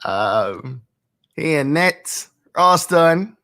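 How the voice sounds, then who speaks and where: A Jamaican man in his thirties talks through tips on a live stream, his voice bright and forward. He keeps the tone chatty and often tags his points with "right?". He is close on a studio mic in a home studio. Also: fairly high pitch, expressive, drawn-out words. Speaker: host. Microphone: studio microphone with headphones.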